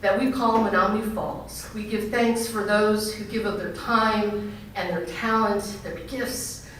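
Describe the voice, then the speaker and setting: A woman's voice: mid-range voice, steady tone, a little slow, a bit bright. A woman pastor with a big, projecting voice, speaking to a gathering. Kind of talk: informal remarks and spoken prayer to a gathering. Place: large echoing indoor banquet venue. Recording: very echoey hall, distant mic, faint noise.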